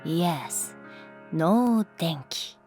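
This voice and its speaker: A woman playing a cool, caring teacher in a Japanese voice drama, talking in a laid-back, gently teasing way. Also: on the low side, expressive, very slow, soft background music. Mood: playful, teasing. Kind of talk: Japanese voice drama acting, conversational.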